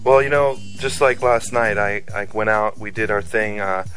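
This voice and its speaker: Low-voiced Californian radio host. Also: male voice, a bit bright, faint music behind, low-quality recording. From Southern California. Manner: friendly, quick affirmations. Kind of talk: casual radio interview.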